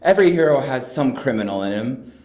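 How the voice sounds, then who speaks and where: A man's voice: medium pitch, animated, fairly quick, a little mellow, natural voice. A man acting a supportive, philosophical older brother in an amateur audio drama, recorded in an echoey room. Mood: reassuring, reflective.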